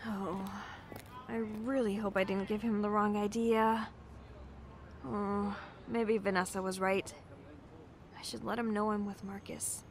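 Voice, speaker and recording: A woman's voice: medium pitch, level tone, unhurried, long pauses, close to an everyday voice. Actress as hesitant young woman over background noise. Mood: worried, musing. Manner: hesitant, self-deprecating, casual. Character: anxious, apologetic young bookshop clerk, woman. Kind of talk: naturalistic audio drama acting.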